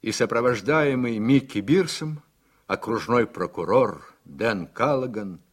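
A man's voice: mid-range voice, lively intonation, a little slow, husky. A man reading scripted narration as the narrator of a radio drama.

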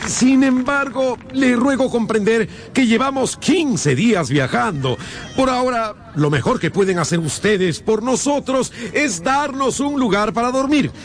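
An actor playing an impatient, commanding scientist uncle in a radio drama, with an emphatic, bossy delivery. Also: male voice, very high-pitched, slight background noise, heavily acted. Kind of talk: Spanish-language radio drama acting. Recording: loud music behind the voice. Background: dramatic music.

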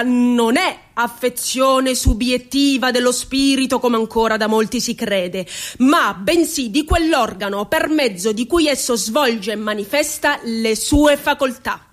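A woman voicing a pompous nineteenth-century male psychiatrist, declaiming formally in a theatrical radio drama. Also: high-pitched. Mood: solemn, pompous.

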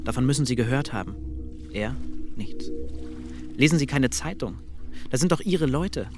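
An actor playing an exasperated man recounting an encounter in a radio drama, terse and clipped, with a creaky voice. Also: mid-range voice, close to an everyday voice. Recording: clear background ambience noise.

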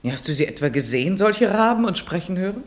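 Casting: strict yet patient schoolmistress for radio play, low register, very lively inflection, probing delivery. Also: female voice, fast talker, heavy background noise. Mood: stern, incredulous. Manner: questioning, shifts from commanding calls to soft coaxing. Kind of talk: German radio play acting.

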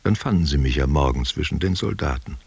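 A man playing a nostalgic, tender old man in a literary radio play, husky and gravelly, speaking intimately and reflectively. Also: rumbling low, animated, fairly quick, a little mellow, no room sound, exaggerated voice. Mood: wistful reminiscing. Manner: intimate, reflective, drifting between memory and direct address. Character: nostalgic old man, former only child, tender and wistful.

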